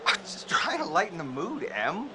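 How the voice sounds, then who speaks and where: A man's voice: high-pitched, wildly swooping pitch, normal speed. A man playing an easygoing teenage boy in a horror game, with naturalistic delivery. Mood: placating, annoyed. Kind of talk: naturalistic video game acting. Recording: loud ambient noise behind.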